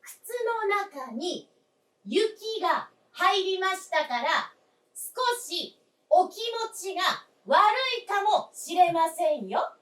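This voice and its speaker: A very high, lilting voice from across an echoey stage: a genteel young wife in a radio play. She is solicitous and very polite toward her elderly father-in-law. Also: very animated, slow, a bit bright, full character voice. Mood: careful, concerned. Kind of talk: staged radio drama acting. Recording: echoey stage, distant microphone.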